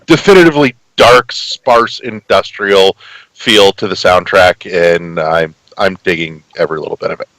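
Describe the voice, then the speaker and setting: A man's voice: deep voice, moderate pace, a bit bright, no room sound, low-quality recording. A man chatting casually about video games as a podcast guest, describing things in profane, exaggerated terms. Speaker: podcast guest and game reviewer.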